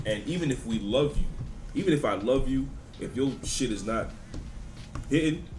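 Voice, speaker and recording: A man co-hosting a podcast, talking in an animated, emphatic casual way. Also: medium pitch, moderate pace. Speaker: podcast co-host. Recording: echoey room with faint noise.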